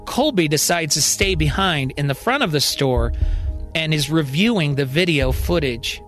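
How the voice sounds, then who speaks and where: A male storyteller delivers scripted, dramatic narration for a fiction podcast. His voice is somewhat high for a man, and quiet music runs underneath. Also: expressive, moderate pace. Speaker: storyteller, podcast writer and performer.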